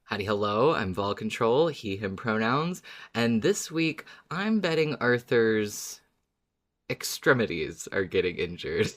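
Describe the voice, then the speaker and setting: A man's voice: lowish voice, big pitch swings, measured pace. A man co-hosting a fan podcast, chatting and recapping in a casual, playful, enthusiastic way. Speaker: podcast co-host.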